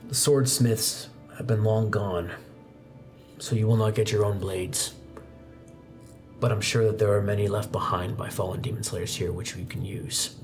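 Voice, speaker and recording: A man's voice: on the low side, steady tone, normal speed, natural voice. A man playing a stern, grumbling old demon slayer master in a tabletop roleplay, with music behind him. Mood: instructive.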